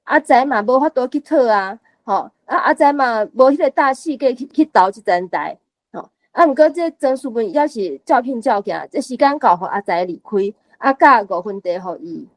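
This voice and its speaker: A woman's voice: a little high, animated, normal speed, dry recording. A woman guest sharing her thoughts on a story, punctuating her points with short tag particles. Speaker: reading group guest. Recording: faint music behind. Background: faint music.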